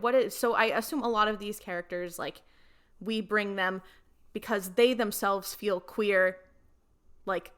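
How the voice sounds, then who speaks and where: A woman's voice: medium pitch, a bit bright. A woman on a podcast panel, talking casually and conversationally as a fan among fans.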